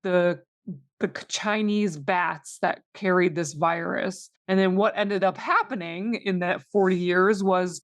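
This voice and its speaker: A woman's voice: on the low side, measured pace, no room sound. Woman podcaster chatting, dry close sound. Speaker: podcast co-host. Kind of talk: casual discussion of a fiction series.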